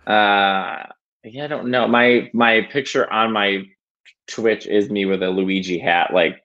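A man as a podcast guest, talking casually in a halting, self-conscious way with many restarts. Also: fairly low pitch, steady tone, a bit bright, dull audio. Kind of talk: casual interview chat.

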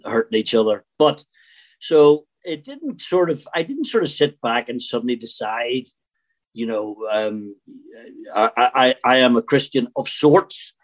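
A man aged about sixty-one with a Northern Irish accent, talking candidly and bluntly as an interview guest, with the odd mild swear. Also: medium pitch. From Northern Ireland. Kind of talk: candid personal conversation.

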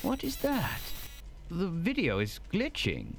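An actor playing a polite, fussy, squeamish hotel manager in an audio drama, speaking in a formal, deferential and prissy way. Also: male voice, sits high, wildly swooping pitch, slow pace, creaky, music in the background, exaggerated voice. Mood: shocked. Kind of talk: scripted audio drama acting.

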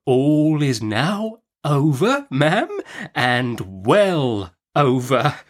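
A man voicing a mild, timid, easily flustered doctor in a storybook, with a soft, hesitant and deferential delivery. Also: mid-range voice, sing-song, drawn-out words. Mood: meek, careful.

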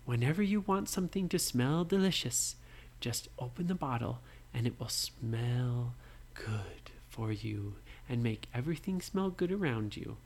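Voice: mid-range voice, big pitch swings, takes it slowly, voice pushed up, exaggerated voice. A storyteller voicing a kindly, fussy old woman who lives alone, pleading for help in a bedtime story. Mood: hushed.

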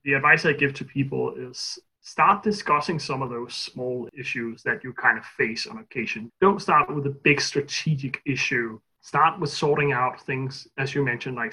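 A man's voice: medium pitch, pushed and bright, slightly rough, rough recording. A man for whom English is not his first language, a guest author answering interview questions with wry humor. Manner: wry, self-deprecating humor.

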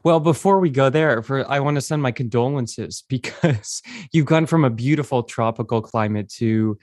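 A man's voice: mid-range voice, moderate pace, darkish tone. Man, podcast host, teasing and playful, mid-range voice. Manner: playful, teasing, theatrical asides. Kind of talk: podcast intro and casual interview.

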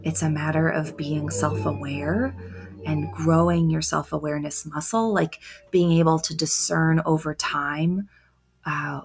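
Soft-spoken woman with a low gravelly voice. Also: steady tone. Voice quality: soft, quiet voice. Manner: slow, with long pauses between thoughts. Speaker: confidence coach, podcast guest. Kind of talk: reflective interview answers.

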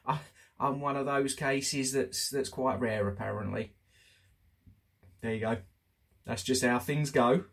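A man talking candidly and casually as host of a personal diary show, chatty but halting, pausing for emphasis. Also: medium pitch, breathy edge. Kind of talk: casual spoken diary update.

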